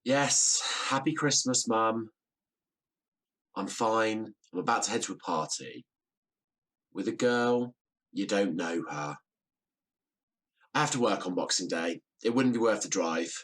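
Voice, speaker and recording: An actor playing a lonely, defensive grown son in a naturalistic audio drama, husky and halting. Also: male voice, on the low side, lively intonation, a bit bright. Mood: defensive, weary. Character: Simon, lonely, defensive son fobbing off his mother.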